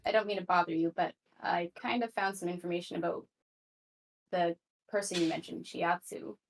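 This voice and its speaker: A woman's voice: fairly low pitch, steady tone, brisk, vocal fry, low-quality recording. A woman playing a tabletop roleplaying game, chatting casually and a little hesitantly in character. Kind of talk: casual improvised roleplay chat.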